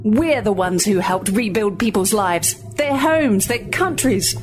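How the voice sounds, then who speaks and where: A woman's voice: mid-range voice, expressive, measured pace, over music, close to an everyday voice. An actress playing a prickly, sardonic corporate woman on a space station in a sci-fi audio drama. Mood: indignant. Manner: sardonic, cutting.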